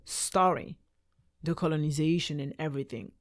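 A young woman raised in Belgium, a French native speaker, chatting as a guest on a podcast in a small studio, animated and gesturing with her hands. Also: deep voice. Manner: animated, talks with her hands, quick laughs. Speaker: guest, choreographer and dancer. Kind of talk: casual interview chat. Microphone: studio mic on boom arm.

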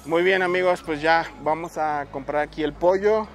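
Mexican bodybuilder vlogger, thirties, fairly high man's voice, chatty price-counting, soft music underneath. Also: fairly even intonation, normal speed, gravelly. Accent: Mexican Spanish. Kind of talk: casual vlog, showing his weekly grocery shopping. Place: supermarket aisles, car and shops.

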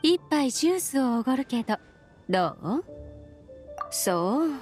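Mysterious woman traveller, high voice, lilting intonation, poised, polite, measured, game music. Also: very animated, a little mellow. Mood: cool, courteous. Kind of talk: anime game character acting.

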